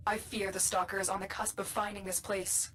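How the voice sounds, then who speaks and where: An actress playing a grave, anxious guide who commands warrior operatives in a video game, speaking in a solemn, measured way. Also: female voice, medium pitch, little pitch movement, fairly bright tone, slight background noise, lightly acted. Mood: grave, urgent. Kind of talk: scripted video game voice acting.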